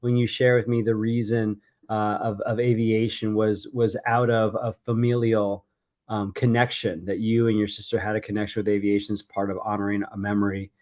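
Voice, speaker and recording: A man's voice: fairly low pitch, little pitch movement, normal speed, a little mellow. A man hosting an interview show, speaking with enthusiasm and often repeating himself. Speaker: host.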